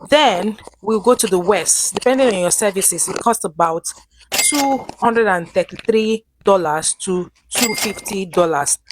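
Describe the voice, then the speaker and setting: A woman in an advice vlog who lists costs one by one in a measured way. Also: mid-range voice. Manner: measured, listing costs step by step. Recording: background noise.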